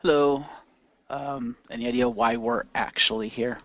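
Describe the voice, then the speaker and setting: A man's voice: mid-range voice, steady tone, normal speed, a bit bright, lo-fi sound, lightly acted. A man playing a friendly, skeptical middle-aged man in an amateur radio play, casual and questioning. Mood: friendly, bemused.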